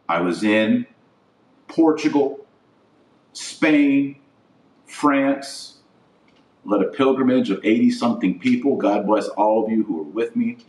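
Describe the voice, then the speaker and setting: A middle-aged man with a fairly low voice speaks in a roomy, echoing space, a little away from the mic. He delivers opinion commentary as a podcast host. His phrasing is short and emphatic, repeating words to drive a point home. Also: a little mellow. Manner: emphatic, short declarative phrases with repetition for stress. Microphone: webcam setup. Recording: roomy echo, distant microphone.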